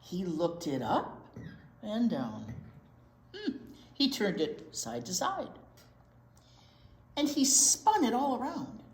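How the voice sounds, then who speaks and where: Female children's storytime reader, slow and highly expressive, stretches key words, roomy echo. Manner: expressive, drawn-out key words, prompting questions to small children. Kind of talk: animated picture book read-aloud for young children. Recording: roomy, echoey indoor sound.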